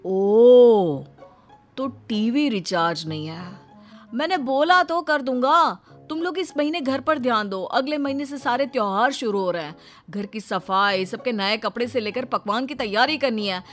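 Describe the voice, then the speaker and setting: A woman's voice: a little high, a little slow, faint music behind, natural voice. A woman voicing an exasperated, hungry adult son in a comic household story, pleading and complaining.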